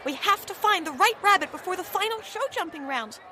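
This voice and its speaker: Bossy female agent: extremely high voice, high-strung, faint-to-clear noise behind, audio comedy. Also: expressive, fairly quick, sharp tone, full character voice. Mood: urgent, bossy. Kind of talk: comedic audio drama dialogue.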